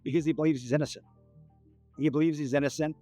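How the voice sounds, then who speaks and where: A man with a fairly high voice answers interview questions off the cuff. He repeats phrases emphatically, with faint music behind. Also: fairly even intonation, quick pace, dark tone. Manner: emphatic repetition.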